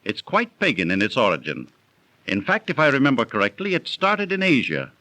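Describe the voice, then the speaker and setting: Worldly raconteur on 1930s radio, lively swings, dry close sound. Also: male voice, mid-range voice, fairly quick, no room sound. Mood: musing, authoritative. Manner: genteel, theatrical raconteur. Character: worldly, well-travelled storyteller recalling an old legend. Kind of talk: 1930s radio acting, storytelling in conversation.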